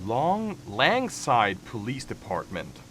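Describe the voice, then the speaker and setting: A man hosting a casual live reaction, commenting in an animated way and often repeating his phrases. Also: mid-range voice, slow, slight background noise. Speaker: reaction channel host.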